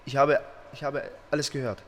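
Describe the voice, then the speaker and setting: Man playing an earnest, halting immigrant farmhand witness. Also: mid-range voice, level tone, a bit bright, slight background noise, lightly acted. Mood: solemn, resolute. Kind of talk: radio crime drama acting.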